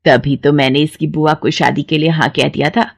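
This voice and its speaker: A woman's voice: deep voice, steady tone, normal speed, creaky, voice pushed down. A woman voicing a fussy middle-aged mother-in-law in a cartoon story, who complains and scolds. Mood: grumbling.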